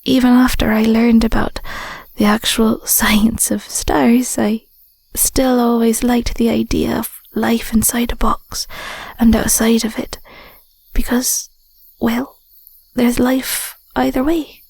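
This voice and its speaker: A woman's voice: a little high, little pitch movement, no room sound, exaggerated voice. Woman acting a whispery, tender Scottish werewolf girlfriend. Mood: wistful, tender. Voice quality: soft, breathy, whispery. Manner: gentle, unhurried, affectionate. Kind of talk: intimate audio roleplay, ASMR-like. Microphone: close mic, dry.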